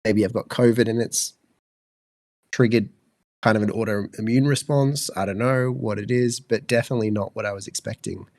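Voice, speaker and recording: A man's voice: on the low side, steady tone, normal speed, darkish tone, no room sound, studio quality. Congested Australian guy in his twenties. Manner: rambling, many fillers and restarts. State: congested, sounds fluey. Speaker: podcast co-host. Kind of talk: casual chat.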